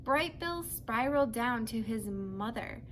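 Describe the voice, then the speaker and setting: Lady doing a kids book read-aloud, highish voice, bit of background noise. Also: lively intonation, unhurried, a bit bright. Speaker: storybook reader.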